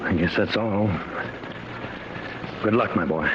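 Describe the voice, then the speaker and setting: An actor playing a worried, fatherly professor, speaking in a measured, earnest manner in 1950s radio drama style. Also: lowish voice. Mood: hesitant, concerned. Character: grave, worried professor inventing time travel, fatherly. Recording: dull, noisy old radio recording.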